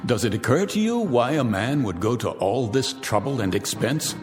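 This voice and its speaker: A man's voice: medium pitch, expressive, moderate pace, natural voice. A man acting in a radio drama as a vengeful, smug millionaire, theatrical and sardonic in formal diction. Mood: menacing, gloating. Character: vengeful, smug millionaire relishing power over his captives. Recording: reverberant hall, music underneath.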